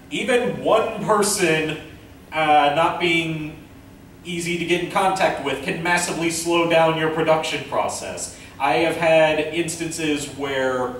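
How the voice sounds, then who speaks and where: A man presenting an informal convention panel, speaking haltingly as he thinks aloud. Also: on the high side, a bit bright. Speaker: panel presenter, amateur director and voice actor. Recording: echoey room from far off, faint noise.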